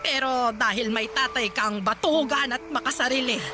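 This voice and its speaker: Woman acting tired old filipino lola in a radio drama, kinda high voice, sighs a lot, music behind. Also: moderate pace, close to an everyday voice. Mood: indignant, tender. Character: weary, caring old grandmother in poor province.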